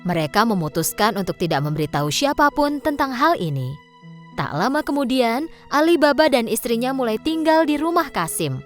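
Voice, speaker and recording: A woman narrating a scripted storybook tale for children. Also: fairly high pitch. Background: background music.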